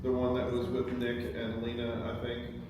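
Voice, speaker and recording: A man's voice: mid-range voice, monotone, normal speed. Give me a man chatting from across an echoey room. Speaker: table read participant. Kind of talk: casual chat. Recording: very echoey, noisy room, distant microphone.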